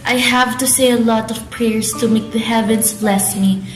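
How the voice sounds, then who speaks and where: A young woman plays a dutiful but troubled daughter in an amateur radio drama. Her voice is fairly high, with a strong room echo and music playing underneath. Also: fairly even intonation, moderate pace, natural voice. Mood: uneasy. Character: troubled young daughter, outwardly obedient, inwardly fearful. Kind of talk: student radio drama acting.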